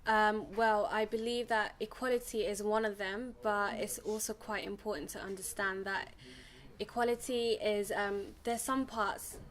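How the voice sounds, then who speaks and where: Young woman, flat measured speech. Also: mid-range voice, slight background noise. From England. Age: young adult. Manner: even, measured delivery with frequent ums. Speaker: guest. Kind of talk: casual studio interview.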